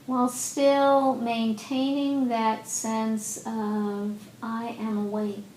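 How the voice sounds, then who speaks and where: A woman leading a guided meditation as a teacher, speaking gently and unhurriedly with long pauses in an indoor room. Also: level tone. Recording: roomy echo, faint rumbling background noise.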